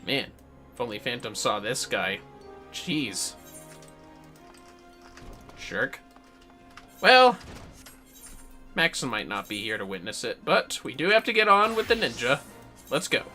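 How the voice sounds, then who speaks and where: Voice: medium pitch, sing-song, takes it slowly, pauses often, sharp tone, soft background music. A gamer giving casual livestream commentary in a playful, reactive, sweary way. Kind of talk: casual game-stream commentary.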